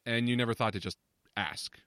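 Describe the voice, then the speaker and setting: A man acting in an audio drama as a probing, slightly impatient confidant with a measured, questioning, dry manner. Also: mid-range voice, a bit bright, smooth, no room sound, lightly acted.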